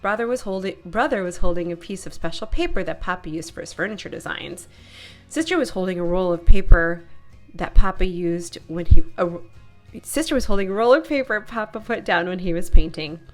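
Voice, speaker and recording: A woman's voice: on the low side, animated, fairly quick. A woman narrating a children's picture book as a read-aloud storyteller. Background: music.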